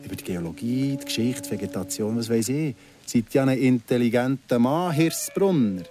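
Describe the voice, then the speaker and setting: Actor as insinuating Swiss German ex-convict, music behind. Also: male voice, medium pitch, lively intonation, moderate pace, natural voice. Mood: insinuating, narrating. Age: 30s. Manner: probing, mock-understanding, hesitant asides. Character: insinuating man in his thirties, freshly paroled from prison. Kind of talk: radio drama acting.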